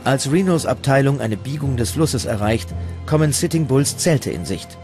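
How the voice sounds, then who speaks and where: A man's voice: medium pitch, lively intonation, normal speed. A man reading scripted documentary narration as the narrator. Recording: loud music bed under the voice. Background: loud music.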